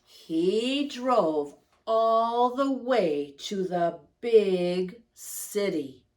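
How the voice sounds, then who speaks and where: A woman storyteller reading a picture book expressively to young children, stretching words for emphasis and pausing to ask them questions. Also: mid-range voice, lively intonation, darkish tone.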